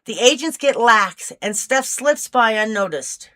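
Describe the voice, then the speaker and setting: A restless female field agent in an audiobook , voiced by a woman reader, informal and teasing. Her voice is bright and forceful, itching for something beyond routine. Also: on the high side, expressive, measured pace, voice pushed up.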